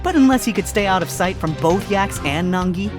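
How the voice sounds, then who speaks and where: Dude doing a smug fox lawyer, dry sarcastic jabs, voice swinging up and down, music clearly playing under. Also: male voice, high voice, animated, fairly quick. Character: smug sly male fox defense lawyer.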